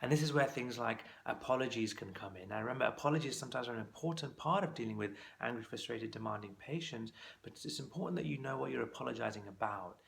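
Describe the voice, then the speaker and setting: A man's voice: mid-range voice, quick pace, gravelly, fairly bright tone, slight background noise. A man teaching as a clinical exam course tutor, giving practical coaching tips in a conversational instructional talk to camera.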